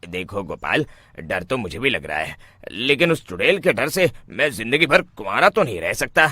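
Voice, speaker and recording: A man's voice: on the low side, sing-song, moderate pace, noisy background. A man voicing a nervous, exclamatory young village bridegroom in a cartoon story. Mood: anxious pleading. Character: anxious young village bridegroom, cowardly but stubborn.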